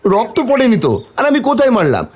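Man doing a high, cheeky village boy. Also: huge pitch swings, fast, darkish tone, faint music behind, heavily acted. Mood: cheeky, defiant. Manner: taunting, sing-song bragging. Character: mischievous cheeky village boy, defiant and bold. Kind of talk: cartoon voice acting.

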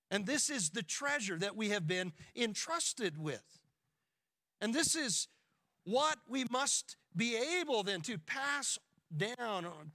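Male pastor, 50s, high bright tone, measured deliberate pulpit delivery. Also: no echo, studio quality. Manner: emphatic preaching, slows to stress key words. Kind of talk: expository sermon. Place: church sanctuary at a pulpit. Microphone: pulpit microphone.

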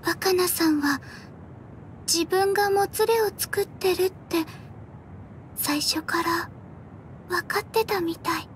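An actress voicing a soft, hesitant young girl in a Japanese anime game. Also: very high-pitched, slow pace, long pauses, slight background noise. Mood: sad, subdued.

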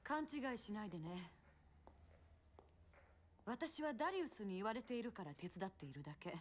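A woman acting a cool, bossy young street-racing guide in a Japanese game dub, brisk and curt. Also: mid-range voice, animated, a little hiss or hum, close to an everyday voice.

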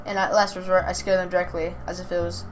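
A woman's voice: fairly low pitch, level tone, fast, a little hiss or hum, lightly acted, low-quality recording. A woman voicing a proud, irritable young witch cat-girl in a visual novel, with a haughty, scolding manner. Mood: earnest.

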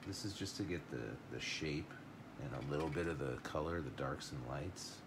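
A middle-aged man teaching a watercolor lesson live, thinking aloud in an unhurried way as he paints at a table. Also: a bass voice, background noise. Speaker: art teacher.

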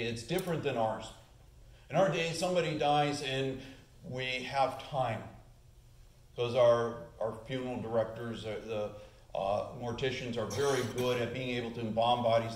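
A man in his forties preaching a sermon as pastor, earnest, lifting his voice on the key points, filmed from a distance at the lectern. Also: mid-range voice. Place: church sanctuary, at the lectern. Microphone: far camera. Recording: echoey church room.

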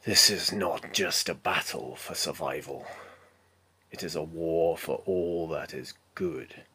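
A man playing a resolute, battle-worn armored knight in a dramatic film monologue, declaiming slowly and solemnly with breathy phrase endings. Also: extremely low pitch, bright, forceful tone, heavily acted. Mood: defiant, solemn.

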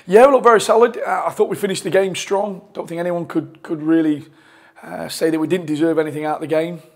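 A football manager in his forties giving a post-match interview from a press room, talking in a measured way with frequent restarts and fillers on a lapel mic. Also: fairly high pitch, fairly even intonation, creaky. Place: press room in front of a sponsor board.

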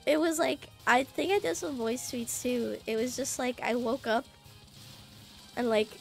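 A young woman streaming a game while sick, her voice raspy, swearing, joking with chat and singing la-la to herself. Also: on the high side, steady tone, a little slow. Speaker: VTuber streamer. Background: faint game music.

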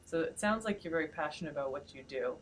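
A woman's voice: sits low, animated, quick pace. A woman in her thirties hosting an interview, upbeat and affirming as she asks questions. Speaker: interviewer and blog host. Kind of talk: friendly interview. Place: indoor store event, seated before a branded backdrop.

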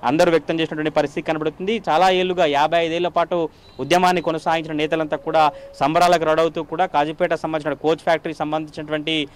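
A man reporting the news from the field in a formal register, talking quickly and filling pauses with drawn-out "aa" sounds. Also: fairly high pitch, flat delivery, clean tone. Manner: fast continuous delivery with frequent "aa" fillers.

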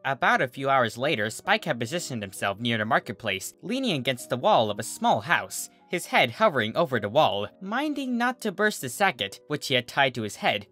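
A narrator reading a scripted comic story in an expressive way. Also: mid-range voice. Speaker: narrator, fan fiction reader.

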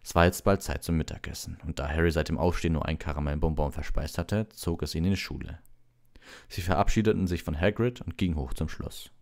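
A man with an extremely low voice reads a storybook for bedtime. His delivery is relaxed, in the manner of a live audiobook reader. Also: brisk, vocal fry.

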